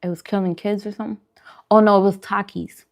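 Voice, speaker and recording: A woman's voice: mid-range voice, fairly even intonation, quick pace, vocal fry. A woman hosting a food vlog, chatting casually to viewers in colloquial slang and repeating phrases for emphasis. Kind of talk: casual chat to viewers during a mukbang livestream.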